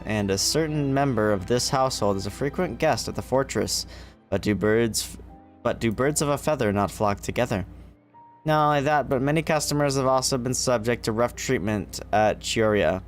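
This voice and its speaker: A man livestreaming a video game, reading its text aloud in a theatrical way. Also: medium pitch. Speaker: gaming livestreamer.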